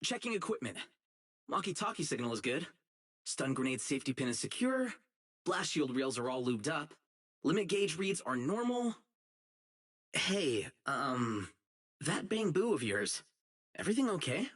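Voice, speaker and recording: A man voicing an earnest, righteous young public security officer in a video game, dutiful and excitable, with dramatic delivery. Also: on the high side, moderate pace, pauses often, slightly rough, exaggerated voice. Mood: businesslike, suspicious. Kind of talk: isolated game character voice lines, dramatic acting.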